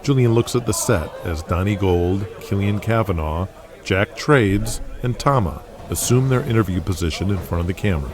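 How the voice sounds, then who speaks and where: A man's voice: on the low side, expressive, normal speed, crowd noise. A man narrating a scripted audio drama in the present tense, setting each scene. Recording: loud music under the voice. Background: loud music.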